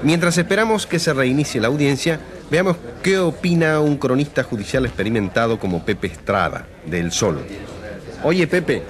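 An eager radio reporter, played by a man, with a medium-pitched voice. He commentates live in a radio drama while a crowd murmurs clearly behind him. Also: lively intonation, normal speed, lightly acted. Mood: earnest, expectant. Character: eager male radio reporter covering a public trial.

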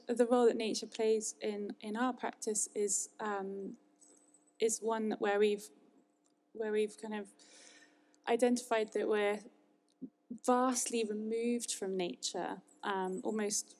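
A woman in her thirties on a stage panel with a quiet voice, hesitant with many ums, speaking into a handheld mic. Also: medium pitch, little pitch movement, unhurried. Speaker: fashion designer panelist. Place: stage in a large hall.

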